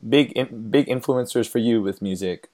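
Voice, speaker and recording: A man hosting a podcast in casual chat, with a hoarse, raspy voice from losing it, laid-back and quick to laugh. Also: medium pitch, a little mellow. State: hoarse, lost voice. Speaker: podcast host.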